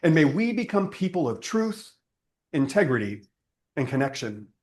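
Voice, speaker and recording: Expressive man leading prayer, deeper-pitched delivery avoided. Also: moderate pace. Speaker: spiritual service leader. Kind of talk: prayer and spiritual teaching.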